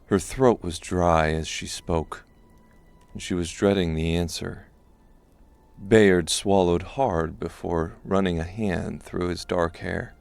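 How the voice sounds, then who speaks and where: A man narrates a dark fantasy audiobook from a script. His voice is low, with a gravelly creak running through it. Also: fairly even intonation, slow, no room sound.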